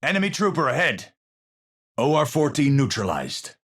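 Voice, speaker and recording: An actor playing a cerebral, haunted, middle-aged scientist in a video game, speaking formally and precisely with theatrical, pensive phrasing. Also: male voice, medium pitch, sing-song, normal speed, a bit bright, slightly rough. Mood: measured, intent. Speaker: video game hero character. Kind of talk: scripted game voice lines, theatrical.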